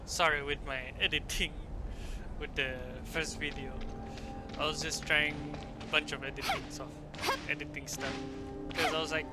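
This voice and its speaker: A man whose first language is not English, giving chatty, self-deprecating commentary on a video game he is playing. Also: a little high. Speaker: gamer. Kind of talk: casual let's-play commentary. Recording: loud game music behind.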